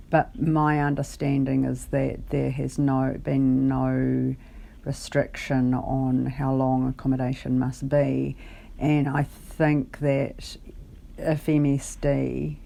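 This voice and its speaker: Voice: mid-range voice, creaky, warm tone. A caller on a phone conversation, explaining their case in a hesitant, careful and articulate way. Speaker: caller, benefit client.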